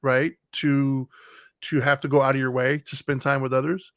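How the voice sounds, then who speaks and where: A man's voice: medium pitch, flat delivery, normal speed, no room sound, studio quality. A man co-hosting a podcast, talking thoughtfully, stammering on restarts and laughing often. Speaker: podcast co-host. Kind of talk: casual conversation.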